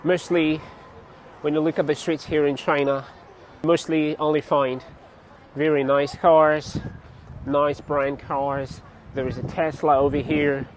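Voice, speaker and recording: A young man from Cameroon chatting casually to his vlog viewers outdoors on a city street, laughing often and pausing with fillers. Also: on the high side, flat delivery. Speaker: vlogger. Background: faint street traffic.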